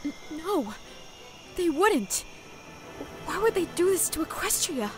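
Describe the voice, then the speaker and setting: A woman playing an earnest young demon pony girl with a high voice, anxious and easily rattled. Dramatic music runs clearly behind her in this audio drama. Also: sing-song, takes it slowly, heavily acted. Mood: puzzled. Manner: anxious and earnest, often bursting out.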